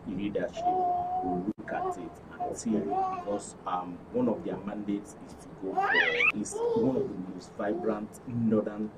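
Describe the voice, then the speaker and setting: Nigerian man, lively swooping delivery. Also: on the low side, unhurried. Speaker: studio guest, actors' guild official. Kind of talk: unscripted interview answers. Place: radio and TV studio. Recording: distant microphone with clear background noise.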